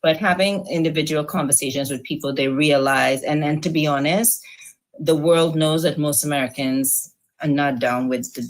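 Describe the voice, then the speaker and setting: Low-pitched Trinidadian woman, chatty. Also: fairly even intonation. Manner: quick laughs, playful asides. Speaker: podcast co-host. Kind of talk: casual news chat.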